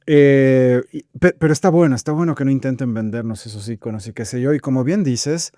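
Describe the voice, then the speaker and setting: A man's voice: medium pitch, brisk, dark tone, no echo, studio quality. A man with a Bolivian Spanish accent, co-hosting a podcast with conversational film criticism.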